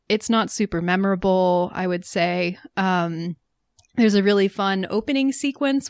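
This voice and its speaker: A woman's voice: mid-range voice, no echo. A woman co-hosting a film podcast, chatting casually about movies, with lots of fillers and laughs. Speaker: podcast co-host.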